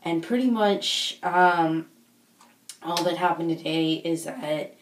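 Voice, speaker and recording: A woman's voice: on the low side, takes it slowly, a little hiss or hum. A woman chatting casually in a rambling, hesitant vlog, with a voice worn from being sick. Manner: rambling, hesitant, searching for words. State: recovering lost voice after being sick. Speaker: video blogger, football fan. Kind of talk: casual unscripted vlog chat. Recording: echoey room, distant microphone.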